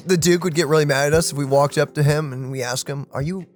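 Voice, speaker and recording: A woman's voice: low voice, steady tone, fast talker, darkish tone. A woman streaming a let's play, casually commenting and reading game dialogue aloud. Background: game music.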